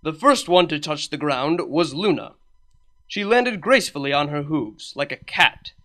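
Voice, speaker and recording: A man reading a comedic fan story aloud, narrating with a wry, deadpan touch. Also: a little high, moderate pace. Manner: wry, deadpan delivery with lively emphasis. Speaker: fan fiction reader.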